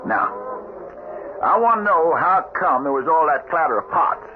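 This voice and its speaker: An actor playing a stern, commanding cattle-drive trail boss in a radio western, giving orders in folksy cowboy talk. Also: male voice, a little high, lively intonation, moderate pace, close to an everyday voice. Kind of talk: 1970s radio western acting. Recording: muffled, noisy old radio drama recording.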